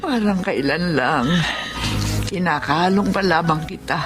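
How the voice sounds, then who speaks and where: A woman's voice: fairly low pitch, a little slow, close to an everyday voice. A woman playing a devoted, sentimental Filipina nanny in an audio drama, polite and emotional in her speech. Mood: emotional, tender. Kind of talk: Filipino radio-style drama acting. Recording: dramatic music behind the voice.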